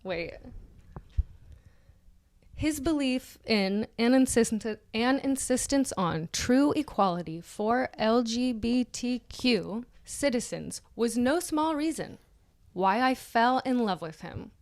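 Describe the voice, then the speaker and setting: High-voiced giggly woman reading aloud. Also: animated, slow, darkish tone. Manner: giggly, often breaking into laughter while reading. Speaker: podcast co-host. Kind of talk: mock-dramatic article reading with joking asides.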